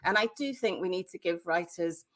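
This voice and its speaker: A woman's voice: lowish voice, fairly quick, a bit bright. A woman with a British accent giving emphatic, opinionated, plain-spoken answers as a novelist in an interview. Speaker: guest, debut novelist.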